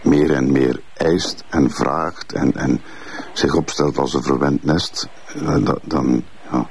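A man playing a haughty, defensive middle-aged bailiff father with a Flemish accent, gravelly and husky, denying accusations haltingly. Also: rumbling low, fairly even intonation, exaggerated voice. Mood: halting, guarded. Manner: halting, repetitive denials.

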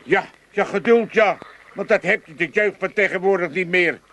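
An older actor with an Amsterdam accent playing a pompous, excitable old father who stammers and repeats himself in a comedy radio play. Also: high voice, normal speed. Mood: smug, lecturing. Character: blustering, excitable old Amsterdam father, cackling at others' misery.

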